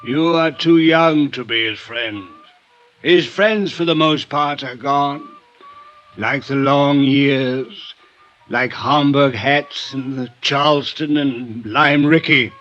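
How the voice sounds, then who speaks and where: A world-weary old drunk in a vintage radio drama. He speaks slowly and grandly, in florid, bitter phrases. The old recording is dull, with music behind it. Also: mid-range voice, a bit bright. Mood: melancholy, weary. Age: older. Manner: florid, formal, theatrical speech. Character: bitter drunken aging former friend of a racketeer, world-weary. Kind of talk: 1950s radio drama acting.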